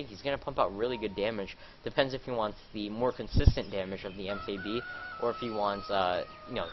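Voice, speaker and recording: A man casting a video game live, sounding nasal and a little stuffed up. He rambles with lots of fillers and gets louder during the fights. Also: on the low side, lo-fi sound, a little hiss or hum. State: congested, a little sick. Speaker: esports caster.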